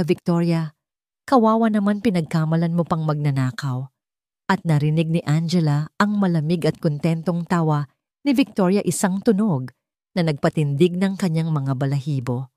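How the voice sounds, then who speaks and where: A woman's voice: lowish voice, a little mellow, dry recording, studio quality. A woman with a Filipino accent narrating a scripted dramatic story.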